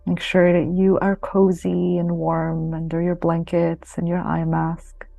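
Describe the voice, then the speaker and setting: A woman leading a guided yoga nidra meditation in a soothing, unhurried way, with long pauses between phrases. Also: on the low side, monotone, gravelly, dry recording. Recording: close dry voice over soft music. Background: soft music.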